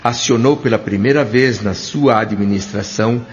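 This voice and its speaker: A man with a Brazilian accent playing a thoughtful doctor who narrates his memories in an audio drama, in a measured, literary way. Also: on the low side, natural voice. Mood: solemn, reflective. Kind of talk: scripted first-person audio drama narration. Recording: dull lo-fi audio with music underneath.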